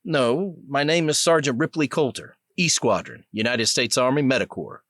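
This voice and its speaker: A man's voice: medium pitch, moderate pace, a bit bright, no room sound, clean studio sound, pitched above the performer's own voice, close to an everyday voice. A man voicing a flustered, earnest young male soldier in an audiobook.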